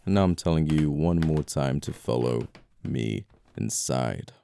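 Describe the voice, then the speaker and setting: Man playing a very deep-voiced, courteous murderer. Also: lively intonation, creaky, dark tone, slight background noise, exaggerated voice. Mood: regretful, flirty. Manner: unhurried, politely menacing, wryly courteous. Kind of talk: ASMR audio roleplay.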